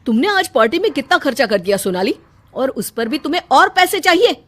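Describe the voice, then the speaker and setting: A woman's voice: sits high, sing-song, a little fast, a bit bright, pitched above the performer's own voice. A woman voicing an irritated, reproachful husband in a cartoon story, nagging and accusing. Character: irritated husband arguing, reproachful adult man.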